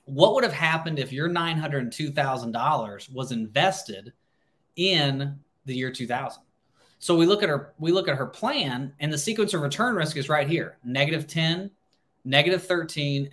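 A man's voice: on the high side, fairly bright tone. A man in his thirties with an American accent, explaining things informally to camera in a conversational, emphatic way, repeating words for stress. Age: 30s. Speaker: financial planner hosting a livestream.

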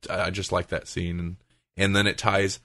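A man with a very deep voice hosts a casual podcast. He retells things in a loose, rambling, conversational way. The recording is dry and close, with no room sound. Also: level tone, moderate pace, bright, forceful tone, no room sound. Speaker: podcast host.

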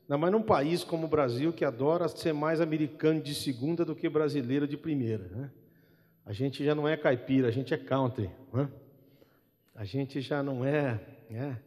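A man's voice: a little high, normal speed, darkish tone. A middle-aged man from Brazil with a Brazilian accent, a gospel singer and guitarist talking in a chatty, wry way between songs and tagging his lines with a questioning "né?". He speaks into a stand mic on a church hall stage. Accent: Brazilian Portuguese. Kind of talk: relaxed spoken interlude between songs at a church concert. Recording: long hall reverb with music behind.

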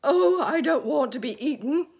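A woman's voice: expressive, takes it slowly, husky, exaggerated voice. A woman voicing a plump, gloomy male earthworm who wails in panic. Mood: terrified. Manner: shrill, panicky wailing.

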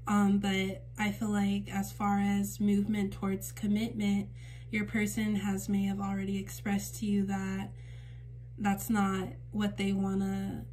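A woman with a temporarily raspy voice giving a casual spiritual card reading to camera at home, gentle and reassuring with frequent fillers. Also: medium pitch, monotone, measured pace, a little hiss or hum. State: raspy voice for a few days. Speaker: tarot reader and channel host. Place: indoors at a table in a home room.

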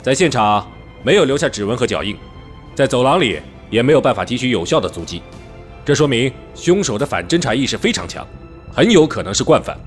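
男演员饰演的沉稳执着的中年老刑警，语调起伏. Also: male voice, mid-range voice, very animated, brisk, soft background music, lightly acted. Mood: formal, businesslike. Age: middle-aged. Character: dogged veteran police detective leading a murder case. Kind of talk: Chinese audio drama acting.

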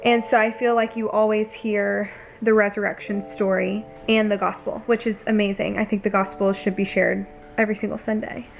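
A woman's voice: mid-range voice, flat delivery, normal speed, creaky, few pauses, low-quality recording. Chatty young woman vlogging at home. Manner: chatty, earnest, lots of "like" and "you know". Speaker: lifestyle vlogger. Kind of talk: casual vlog talk to camera. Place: home kitchen and living room couch.

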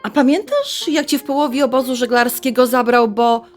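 Chatty mom in her middle years woman acting it, warm-hearted, expressive, radio drama talk. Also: high voice, moderate pace, soft background music, lightly acted. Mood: gentle, advising. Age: middle-aged. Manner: colloquial, expressive, motherly. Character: chatty, warm-hearted middle-aged mother of grown son. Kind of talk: radio drama dialogue, conversational.

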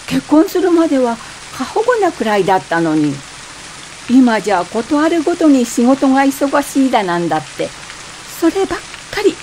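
A woman's voice: high-pitched, very animated, normal speed, darkish tone, slight background noise, poor audio. An actress playing a frank married woman fed up with her husband, in conversational, wry radio drama dialogue. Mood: grumbling. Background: rain.

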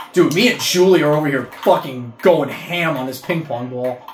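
A man's voice: fairly high pitch, a bit bright. A young man giving casual gameplay commentary, cursing and breaking into frustrated outbursts at the game. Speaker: gaming video creator. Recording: roomy echo with faint game music.

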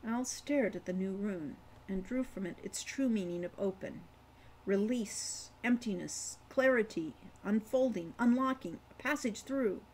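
A woman in her sixties reading fantasy fiction as its author, with deliberate, dramatic phrasing. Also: medium pitch, a little mellow, a little hiss or hum, low-quality recording. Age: 60s. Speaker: author reading own novel. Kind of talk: audiobook-style fantasy narration. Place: home room with kitchen behind.

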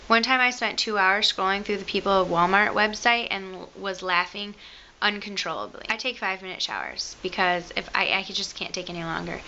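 A woman's voice: mid-range voice, sharp tone, highs cut off, a little hiss or hum. A young woman with a Michigan accent, rambling and joking about herself as she talks to the camera in her bedroom. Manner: chatty, self-mocking, rambling asides. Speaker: beauty vlogger. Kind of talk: casual chat to camera. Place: bedroom at home, indoors. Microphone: camera close to the face.

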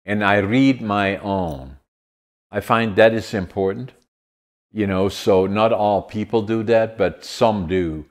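Very deep-voiced man in his 80s, Dutch accent, a host who speaks haltingly on a video call. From Netherlands. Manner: halting, with many fillers and restarts. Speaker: podcast host. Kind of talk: casual interview chat. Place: home room with large window.

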